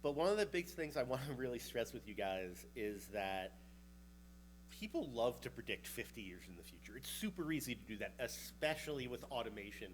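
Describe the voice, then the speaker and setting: A man's voice: medium pitch, a little hiss or hum. A man with a New York accent gives a casual conference talk about vehicle technology, chatty and joking now and then. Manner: casual and chatty, with a joking aside here and there. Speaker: researcher presenting at a conference.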